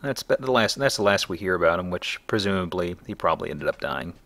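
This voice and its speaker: A man with a low, gravelly voice and a forceful edge commentates casually over a video game. He talks conversationally as host, tossing in wry, jokey riffs. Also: expressive, quick pace. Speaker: let's play host.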